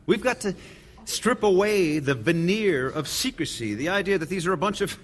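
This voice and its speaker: A pragmatic litigator in a radio drama, heard with lingering reverb. His slightly high voice is earnest and courteous, firm in its reasoning. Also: expressive, moderate pace. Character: earnest, pragmatic male trial lawyer advising client. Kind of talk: radio play acting. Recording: reverberant hall.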